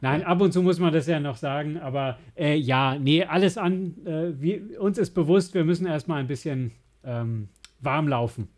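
A man with a slightly high voice co-hosts a podcast. He talks casually, with a joking, tongue-in-cheek way of putting things. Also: normal speed, darkish tone. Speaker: podcast co-host.

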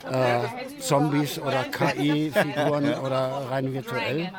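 A man with a rough, raspy voice chatting casually in an interview. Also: medium pitch, level tone, slow pace. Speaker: interviewed voice actor. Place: busy audio drama convention. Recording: very loud convention crowd behind voice.

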